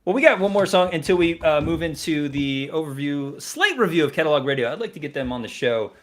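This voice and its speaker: A man's voice: a little high, lively intonation, fast. A man hosting an online music radio show, upbeat and hyping up his co-hosts. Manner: upbeat, hype. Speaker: radio host. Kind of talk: casual radio chat.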